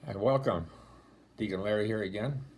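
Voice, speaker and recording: A man in his eighties with a deep voice, speaking as a deacon in a homily-style talk, telling stories with gentle dry humor, filmed on a laptop webcam at home. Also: normal speed, slightly rough. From Rochester, New York area. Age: 80s. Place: home room, indoors. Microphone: laptop webcam at close range.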